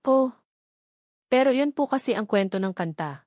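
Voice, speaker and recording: A man's voice: extremely high pitch, expressive, normal speed, a little mellow, no echo, studio quality, pitched above the performer's own voice. A woman narrator playing a humble young male singer who speaks politely and deferentially in an audio love story. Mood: hurt, hesitant.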